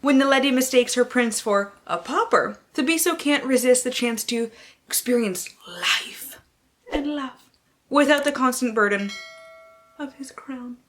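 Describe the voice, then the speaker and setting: A woman's voice: high-pitched, unhurried. A woman hosting a book vlog, talking casually with wry, theatrical delivery and plenty of swearing. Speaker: book vlogger, channel host. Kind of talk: casual chat to camera with playful dramatic book-blurb readings.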